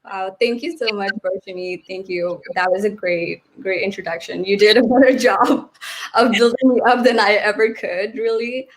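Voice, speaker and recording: A woman's voice: mid-range voice, moderate pace. A woman in her twenties from Nepal, a guest in a casual interview, friendly and dotted with fillers, on a video call mic.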